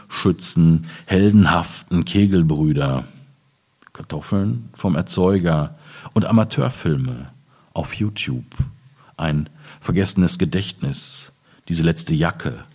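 A man speaking as a poet at a literary reading, in measured, clearly phrased German delivery. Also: deep voice, darkish tone. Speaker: poet reading his own work. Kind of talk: literary reading of poems with informal introduction.

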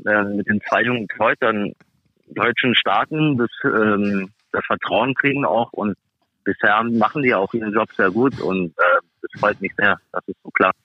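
A man with a fairly low voice heard through a narrow, phone-like connection with little room sound. He answers as an interview guest in a casual way, feeling for his words as he goes. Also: normal speed, no echo. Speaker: guest, professional ice hockey player. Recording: thin phone-like band-limited sound.

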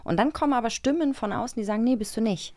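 A woman co-hosting a podcast in casual, animated conversation. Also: on the high side, very fast. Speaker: podcast co-host.

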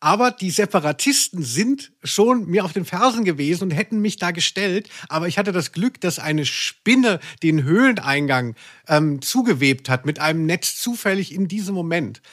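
Higher-voiced man, dry close recording. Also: lively intonation, normal speed, a bit bright, no room sound. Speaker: podcast co-host. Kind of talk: casual chat.

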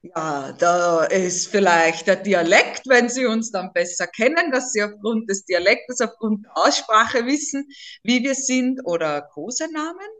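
A woman's voice: medium pitch, lively intonation, measured pace, fairly bright tone. A talkative woman with a slightly Viennese eastern Austrian accent, chatting casually as a podcast co-host. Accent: eastern Austrian German, slightly Viennese. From Lower Austria, near Vienna.

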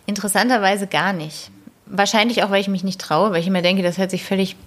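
A woman linguist answering interview questions in a thoughtful, hedging way, with soft laughs between answers. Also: medium pitch, steady tone, fast talker. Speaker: linguist guest. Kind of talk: conversational interview.

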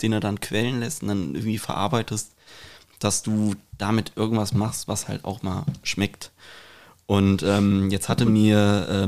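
Ein Mann, der als Podcast-Host locker, abschweifend und selbstironisch plaudert. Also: male voice, fairly low pitch, a little mellow. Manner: rambling, digressive, self-deprecating. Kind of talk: casual podcast chat.

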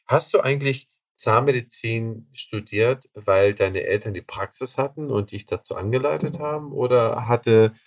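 A man's voice: medium pitch, moderate pace, hardly any pauses, no room sound. A man hosting a podcast interview, talking in an enthusiastic, conversational way with some filler sounds. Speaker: podcast host.

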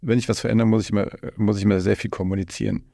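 Low, gravelly, mellow male podcast host. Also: fairly even intonation, very fast, no echo, studio quality. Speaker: podcast host interviewing a guest. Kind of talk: casual conversational podcast interview.

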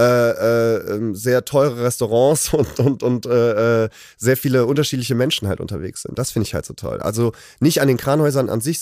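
A man hosting a casual chat, relaxed and hesitant, with many fillers. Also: medium pitch, no echo, clean studio sound. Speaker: podcast host.

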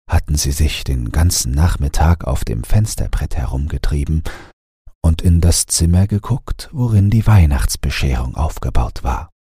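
A man reading a bedtime story as an audiobook narrator, in a gentle, measured manner. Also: very deep voice, slightly rough, dry recording, clean studio sound.

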